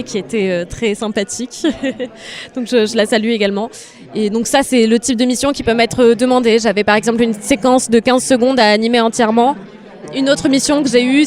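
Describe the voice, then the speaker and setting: Hesitant woman animator interviewed. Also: fairly high pitch. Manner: hesitant, many fillers. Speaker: animator guest. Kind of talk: radio interview answers.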